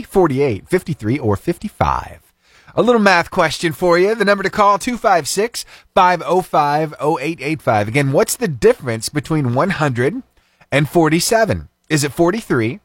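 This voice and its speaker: Male radio morning-show host, lively pitch, dry close studio sound, fervent then chatty. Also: moderate pace, husky, dry recording. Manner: animated, fervent praying then chatty and upbeat. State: slightly itchy throat, voice lower than usual. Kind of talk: prayer and casual radio chat. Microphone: studio microphone.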